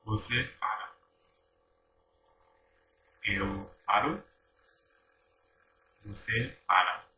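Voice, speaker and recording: A middle-aged male teacher leads a repetition drill at an extremely slow pace, spacing out each short phrase. His voice is sharp and forceful. He is picked up from across an echoey room with faint noise underneath. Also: on the low side, recorded from a distance. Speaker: language teacher.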